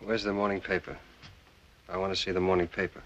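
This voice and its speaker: A man's voice: deep voice, flat delivery, quick pace, fairly bright tone, dull audio, a little hiss or hum. An actor playing a bitter, drunken middle-aged husband who sneers and accuses his wife in a film scene. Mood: sullen. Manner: sneering, accusing, rising to rage.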